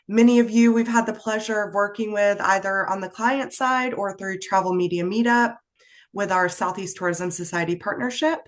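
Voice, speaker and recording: A woman's voice: medium pitch, a bit bright. A woman speaking as a guest on a webinar, congested from a head cold, friendly and chatty. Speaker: PR agency guest.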